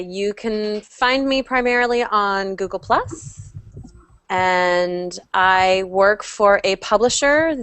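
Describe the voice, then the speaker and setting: A woman from Oregon introducing herself as a guest in a casual, measured way. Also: medium pitch, fairly bright tone, clean tone, dry recording.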